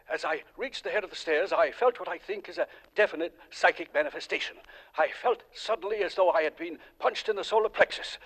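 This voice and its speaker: A man's voice: fairly high pitch, fairly bright tone, breathy edge, poor audio. An actor playing a solemn psychic investigator doctor who reports his findings formally and clinically, in 1940s radio acting.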